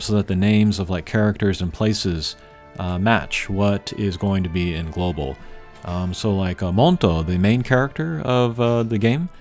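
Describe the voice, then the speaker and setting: A man giving casual, unscripted gameplay commentary as a channel host, thinking aloud in a measured way. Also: fairly low pitch, a little mellow. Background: game music.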